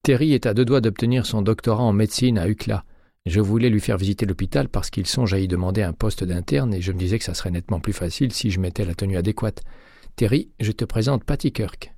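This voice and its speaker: Man voicing a determined, brisk woman, pitch lifted. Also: mellow, no echo, studio quality. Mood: breezy bluffing. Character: determined, quick-thinking woman, warm but secretive accomplice.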